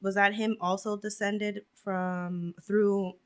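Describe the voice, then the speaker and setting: A woman's voice: mid-range voice, flat delivery, slow pace, clean tone. A young woman hosting a casual chat about church practice, asking questions and laughing often. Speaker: podcast host. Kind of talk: casual religious discussion.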